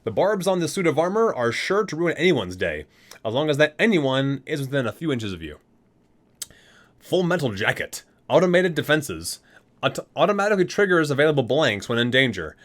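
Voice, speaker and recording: A man's voice: a little high, very animated, normal speed, fairly bright tone. A man hosting an ASMR video, reading guidebook entries aloud and sometimes stumbling and correcting himself.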